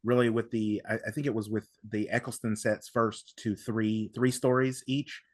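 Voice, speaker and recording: Man, halting but enthusiastic podcaster. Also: lowish voice, little pitch movement, no echo, clean studio sound. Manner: halting, repeating words, enthusiastic. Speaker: podcast host. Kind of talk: casual discussion of audio drama.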